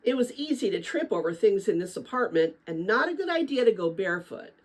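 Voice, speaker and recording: Expressive woman reading aloud, slightly husky. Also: mid-range voice, brisk. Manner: expressive, chatty when commenting to listeners. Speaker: read-aloud narrator. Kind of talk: dramatic children's book read-aloud with casual asides.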